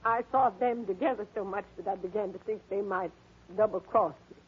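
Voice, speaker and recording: A tinny old radio recording of a woman playing a scheming older villainess. Her voice is extremely rough and husky, bitter as she confesses in period drama style. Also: medium pitch, fairly quick, natural voice. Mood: bitter, confessing. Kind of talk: 1940s American radio drama acting.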